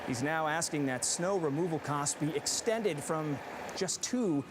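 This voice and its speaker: Male anchor with a high-pitched voice for newscast reads, slight background noise. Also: a little slow. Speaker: news anchor. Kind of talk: broadcast news delivery with some ad-libbing.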